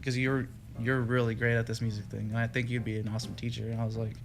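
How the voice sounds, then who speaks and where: A man's voice: mid-range voice, little pitch movement, fast. A young man from Texas, a music student guest, chatting casually in an interview with many fillers and restarts. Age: young adult. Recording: radio studio with faint music behind.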